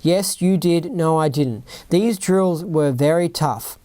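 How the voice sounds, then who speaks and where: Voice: mid-range voice, slow pace, warm tone, no echo. A podcast host with an Australian accent, reading a written account aloud and breaking off into rambling asides, often asking "right?" and "you know what I mean?".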